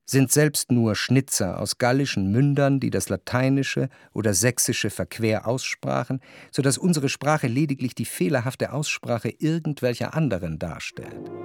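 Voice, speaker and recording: An actor playing a reflective male narrator, delivering measured, introspective literary narration in a German radio play. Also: medium pitch, gravelly, no echo, exaggerated voice. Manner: measured, introspective, long flowing sentences. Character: reflective, jealous male narrator recalling his past.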